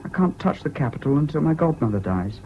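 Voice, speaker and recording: A dull, slightly hissy old radio play recording. a young English university student, whimsical and flippant, with a fairly high voice that swoops about freely. He is an impulsive, evasive charmer who chats lightly. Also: sing-song, fast talker. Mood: eager, whimsical. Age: young adult. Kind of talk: 1970s British radio drama acting.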